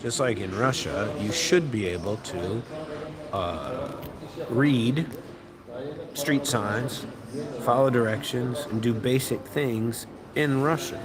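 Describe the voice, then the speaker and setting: A man's voice: mid-range voice, slow, a crowd in the background. An American man and radio host telling a rambling story with many fillers and restarts. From United States. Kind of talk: casual storytelling monologue. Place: restaurant or bar, eating while talking.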